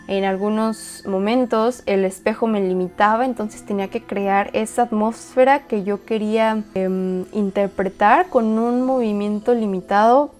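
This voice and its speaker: A woman's voice: mid-range voice, darkish tone. Reflective woman choreographer explaining her work. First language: Spanish. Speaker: dancer and choreographer. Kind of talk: explanatory walkthrough.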